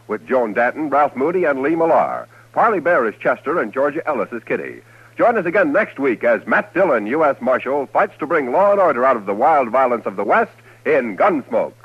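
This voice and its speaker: Vintage American network announcer, male, husky and lively, scripted credit read, dulled old radio sound. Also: mid-range voice, brisk. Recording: muffled old radio recording, music behind.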